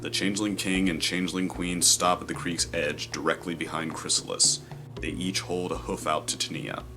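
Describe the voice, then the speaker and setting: A man's voice: sits low, steady tone, normal speed, over music. A man giving scripted narration of the action in an audio drama, as its narrator.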